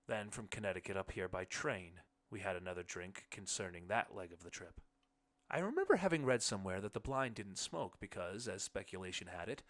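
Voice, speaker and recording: A man narrating a literary short story in the first person, with measured phrasing. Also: sits low, fairly bright tone. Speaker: audiobook narrator.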